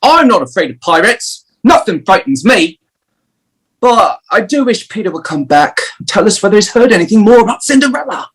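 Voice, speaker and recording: A woman playing a boastful young boy in an audio play, with a cocky, drawn-out delivery. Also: mid-range voice, very animated, husky, poor audio. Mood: bragging.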